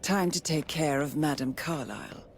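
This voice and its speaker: An actress playing a calm, businesslike female mission handler in a video game. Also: deep voice, normal speed. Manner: cool, composed, businesslike. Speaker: handler on radio.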